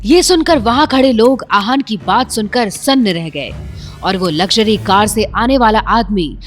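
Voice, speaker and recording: A woman's voice: medium pitch, expressive, measured pace, a little hiss or hum. A woman narrating a scripted audio drama in a dramatic storytelling manner.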